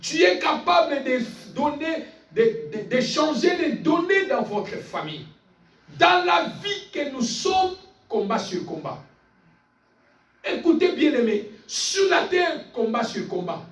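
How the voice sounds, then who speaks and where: Guy preaching hard, super animated and insistent, repeats stuff, far from the mic in an echoey room with faint music behind. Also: extremely high pitch, takes it slowly. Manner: emphatic, insistent, repeating phrases; calls on listeners for amens. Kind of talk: fervent sermon.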